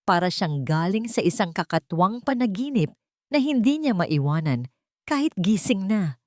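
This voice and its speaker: A woman's voice: mid-range voice, big pitch swings, moderate pace, darkish tone, dry recording, studio quality. A woman reading a scripted romance story as a dramatic narrator.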